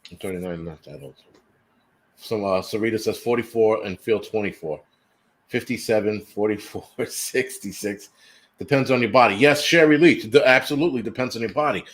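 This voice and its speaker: A man's voice: on the low side, moderate pace, a bit bright. A man in his forties hosting a casual livestream chat, teasing and swearing freely while reading viewer comments aloud. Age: 40s. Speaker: livestream host.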